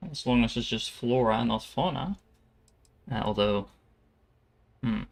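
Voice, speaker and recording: A man's voice: medium pitch, measured pace, gravelly. A man giving casual live commentary on a game he plays, musing aloud with dry jokes. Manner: musing aloud, dryly joking. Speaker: livestreamer playing a game.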